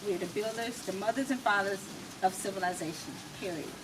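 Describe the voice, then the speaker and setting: An American woman giving her opinions as an interview guest, emphatic and rhetorical, speaking in deliberate, repeated phrases. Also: medium pitch. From United States. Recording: distant mic with clear background noise.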